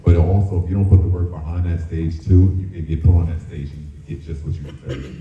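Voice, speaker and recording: A man with a deep voice telling candid personal stories as a dancer on a discussion panel, colloquially and with quoted asides. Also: fairly even intonation, fast talker, distant mic, a little hiss or hum. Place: town hall event room. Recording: muffled, echoey room, off mic.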